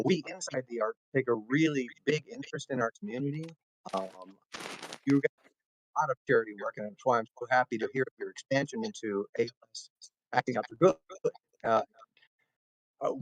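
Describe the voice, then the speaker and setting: A man of medium pitch hosts an interview in an earnest, speechifying way, with a dry, close sound. He talks in full sentences and shapes his points like a small talk to the audience. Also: no echo, poor audio. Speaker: show host. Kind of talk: casual interview hosting.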